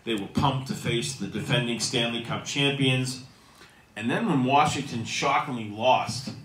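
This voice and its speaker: A man's voice: mid-range voice, fairly bright tone, recorded from a distance. Emphatic middle-aged man, sports talk host. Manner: emphatic, punchy delivery with rising stresses. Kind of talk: casual sports talk show. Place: small restaurant dining room, seated at a table. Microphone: handheld microphone. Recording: echoey room, a bit off mic.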